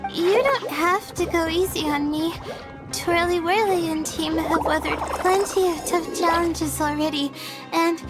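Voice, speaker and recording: Gentle teen girl for a game, very high, unhurried, friendly and a bit hesitant. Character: gentle, modest, friendly teenage girl competitor. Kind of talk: animated video game voice acting. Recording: music behind the voice.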